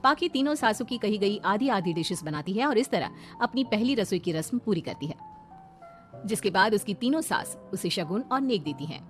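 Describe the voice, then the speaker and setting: A woman reading scripted narration for an animated family story. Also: medium pitch, normal speed, soft background music.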